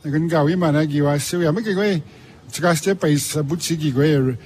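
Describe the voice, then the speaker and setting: A man whose first language is Cree, being interviewed as an author, speaking haltingly with many restarts. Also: on the high side, darkish tone, slight background noise. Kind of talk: casual interview talk.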